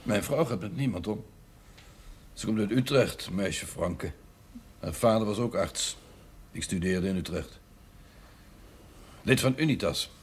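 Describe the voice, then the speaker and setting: An actor playing a cynical, educated country doctor in a television drama, talking in clipped, disdainful phrases. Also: male voice, on the low side, lively intonation, unhurried, long pauses, slightly rough, heavily acted. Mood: disdainful, grumbling.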